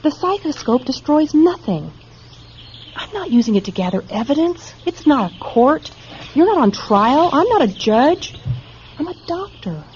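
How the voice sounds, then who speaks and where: A woman's voice: high voice, big pitch swings, measured pace, a little mellow, slight background noise. An actress playing an earnest, dedicated woman psychologist in a dystopian radio drama. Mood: insistent. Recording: dull vintage radio recording, music behind.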